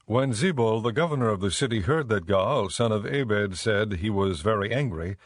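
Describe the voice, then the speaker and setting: A man narrating a dramatized audio Bible in a measured, clearly enunciated storytelling style. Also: on the low side, expressive, no echo, clean studio sound.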